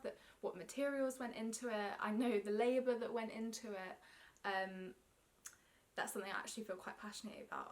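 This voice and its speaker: A young woman from London speaks to camera in a casual, chatty self-interview. Her London English accent is clear, and she talks in a friendly, slightly awkward way, often pausing with ums. It is recorded indoors in a small room. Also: medium pitch, a bit bright. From England. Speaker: knitting vlogger. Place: indoor room with yarn shelves.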